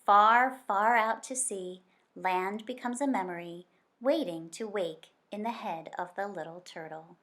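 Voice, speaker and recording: A woman with a mid-range voice reads a picture book aloud in the manner of a teacher with young listeners. Her delivery is expressive and deliberate. She shapes phrases like a storyteller and stretches important words. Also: mid-range voice. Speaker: teacher reading aloud to children.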